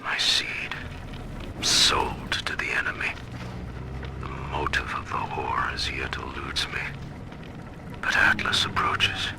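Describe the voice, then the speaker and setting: A man's voice: extremely low pitch, takes it slowly, pauses often, whispered. A man playing a stern, proud middle-aged tycoon who rules his own city in a video game, speaking his audio diaries in pompous, menacing, formal oratory. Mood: bitter, confiding. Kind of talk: dramatic recorded diary monologue, formal oratory.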